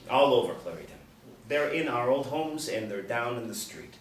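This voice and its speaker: A man's voice: medium pitch, big pitch swings, moderate pace, natural voice. A man reading the part of a resolute, matter-of-fact military lieutenant at a script table reading. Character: resolute male military lieutenant hunting old Nazis. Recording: roomy, distant mic, light room noise.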